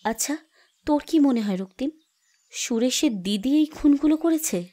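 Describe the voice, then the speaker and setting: A woman's voice: high voice, expressive, moderate pace, warm tone. A woman playing an anxious, suspicious young friend, questioning and confiding in an audio horror story. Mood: uneasy. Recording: music under the voice.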